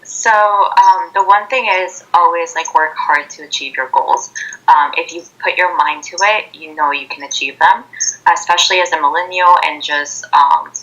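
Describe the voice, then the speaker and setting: A woman's voice: lowish voice, fairly even intonation, normal speed, pushed and bright. A twenty-year-old woman from Ecuador, a native Spanish speaker, answering interview questions informally over a dull-sounding internet video call. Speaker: interview guest, college student. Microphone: Skype video call.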